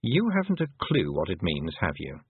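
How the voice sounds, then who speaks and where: A man voicing a sardonic little male toad, low, quiet and wry. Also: wildly swooping pitch, normal speed, husky, no echo, exaggerated voice. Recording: tinny, phone-like lo-fi audio.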